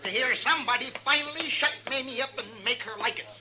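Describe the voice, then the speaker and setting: An actor playing a meek, henpecked little husband who stammers timidly in a 1950s radio western. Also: male voice, very high-pitched, fast talker, bright, forceful tone, exaggerated voice. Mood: giddy. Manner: stammering, hesitant. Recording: noisy old 1950s radio recording.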